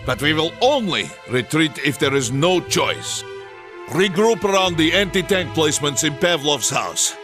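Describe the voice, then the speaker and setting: An actor playing a stern, rousing Soviet army commander, giving an emphatic battle briefing in a video game. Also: male voice, a little high. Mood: resolute, commanding. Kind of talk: dramatic military briefing, video game cutscene. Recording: music behind the voice. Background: loud music.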